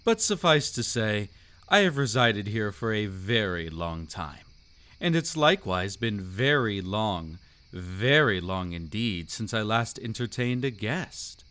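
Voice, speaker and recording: A man's voice: on the low side, very animated, a little slow, a bit bright, smooth. A man voicing a suave, predatory old vampire count in a scripted roleplay audio, with an aristocratic, silky, teasing and theatrical delivery. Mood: charming, coy.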